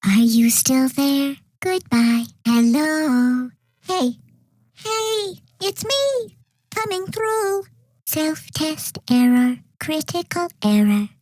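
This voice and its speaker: High, slow, childlike robot. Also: female voice, animated, a little mellow, dry recording, full character voice. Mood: sweetly detached. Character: small, childlike, innocently polite robotic sentry gun. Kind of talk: short video game character lines.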